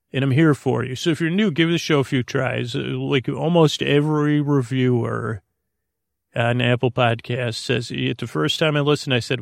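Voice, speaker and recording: Rambling man with a creaky, flat voice. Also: medium pitch, no room sound, clean studio sound. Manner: halting, repetitive, self-interrupting, digressive; goofy, self-deprecating. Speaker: podcast host. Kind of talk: rambling, meandering bedtime monologue to help listeners fall asleep.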